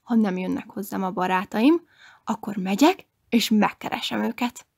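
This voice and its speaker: A woman voicing a cheerful, lively girl in a children's story, speaking in a bright, friendly and eager way. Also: mid-range voice, creaky, breathy edge. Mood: determined. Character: cheerful, lively girl who will not stay sad. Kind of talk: storybook character voice.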